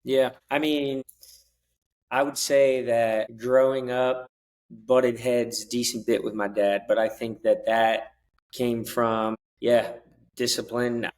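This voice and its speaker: Male guest with a level, unvaried voice and a relaxed, casual way of telling stories. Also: mid-range voice, slow pace. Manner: laid-back storytelling, flat delivery. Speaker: podcast guest. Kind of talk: casual interview conversation.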